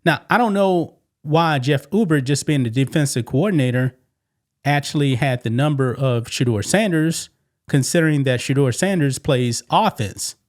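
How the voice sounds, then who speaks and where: Guy doing sports news commentary, mid-pitched voice, chatty, says man a lot and asks rhetorical questions. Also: moderate pace. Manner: conversational, punctuates remarks with "man" and rhetorical questions. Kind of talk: casual opinion commentary on sports news, reading quoted statements.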